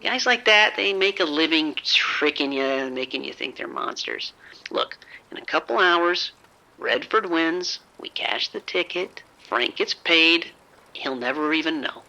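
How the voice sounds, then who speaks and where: An actor playing a reckless gambler in an audio drama, cocky and breezy, always coaxing and persuading. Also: male voice, a little high, expressive, unhurried, gravelly, bright, forceful tone, full character voice, poor audio. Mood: dismissive, reassuring.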